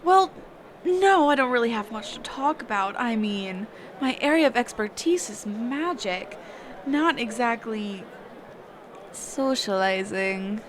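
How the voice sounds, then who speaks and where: A woman voicing a reclusive, socially awkward mage teacher in a roleplay audio, speaking hesitantly and evasively with trailing pauses and hums. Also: fairly high pitch, animated, noisy background. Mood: awkward, curious. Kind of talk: character roleplay audio, one side of a dialogue.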